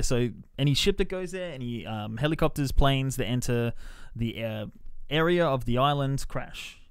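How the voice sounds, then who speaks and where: A man hosting a podcast, explaining things in a halting, informal way as part of a casual film review chat. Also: mid-range voice, clean tone, dry recording, studio quality.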